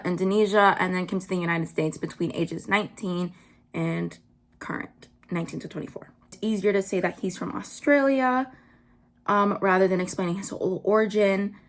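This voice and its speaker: A young woman doing casual commentary, blunt and full of "like" fillers, her pitch jumping up when she gets worked up. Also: a bit bright. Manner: blunt, swears, many "like" fillers. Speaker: vlogger.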